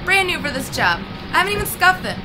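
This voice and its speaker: Extremely high-voiced perky secretary. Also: female voice, sing-song, fast talker, background noise. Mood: eager, chattering. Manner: perky, gushing. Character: eager, chattering, naive young female secretary. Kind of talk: retro pulp radio-serial parody acting.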